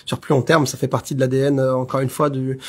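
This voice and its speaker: A man's voice: medium pitch, level tone, quick pace, darkish tone, slightly rough. A man representing the venue, speaking politely as a guest on a live show with many hesitations. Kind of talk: casual on-air chat.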